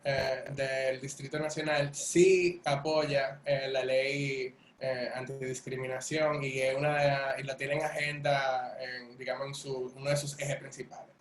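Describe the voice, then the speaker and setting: A man speaking haltingly with many fillers as a panelist on an online panel. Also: medium pitch, flat delivery, reverberant, slight background noise.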